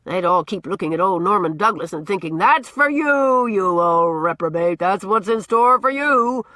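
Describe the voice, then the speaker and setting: Lady doing a gruff old guy in a storybook, booming, bossy, repeats himself a lot, dry close mic. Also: expressive, moderate pace, pushed and bright, no echo. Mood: blustering. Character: blustering gruff old man, loud and bossy.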